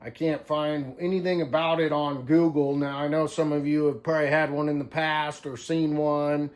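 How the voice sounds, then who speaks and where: A man's voice: on the high side, flat delivery, moderate pace. A man hosting a casual hands-on show-and-tell video, talking informally and rambling as he thinks aloud. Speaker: video host.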